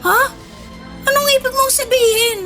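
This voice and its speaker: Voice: very high voice, fairly even intonation, normal speed. A performer acting a clingy, sad young boy in a Filipino radio drama, plaintive and childlike. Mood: worried, pleading. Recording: music bed behind the voice.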